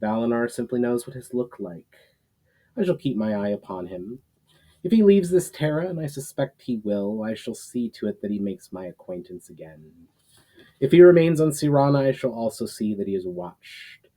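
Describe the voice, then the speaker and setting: A man voicing an ancient, forgiving guardian and mentor in a fantasy story, speaking in a solemn, formal, deliberate way. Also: medium pitch, big pitch swings, warm tone, low-quality recording. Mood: grave.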